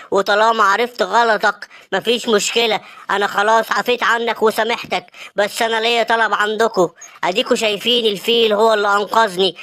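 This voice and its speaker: A woman with an Egyptian accent voicing the lion king of the forest in a cartoon, speaking in a measured, commanding way. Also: medium pitch, fairly bright tone, natural voice. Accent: Egyptian Arabic. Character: male lion king of the forest, calm and fair. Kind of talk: cartoon voice acting.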